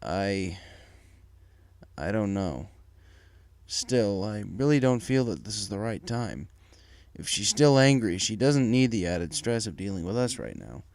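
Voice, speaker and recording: A man playing a worried pony father and husband, hesitant and measured, in a dramatic story reading. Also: fairly low pitch, big pitch swings, darkish tone. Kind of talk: dramatic fan fiction audio reading.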